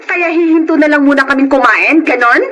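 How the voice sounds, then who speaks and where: A woman's voice: sits high, fairly quick, a bit bright, muffled recording. A woman playing a nagging, demanding wife and mother in an emotional Filipino radio drama. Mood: reproachful. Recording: noisy radio drama recording.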